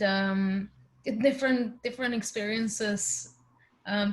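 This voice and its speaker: Woman consultant from Bulgaria. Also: mid-range voice. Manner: casual, searching for words. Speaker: diversity and inclusion consultant. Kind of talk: panel self-introduction.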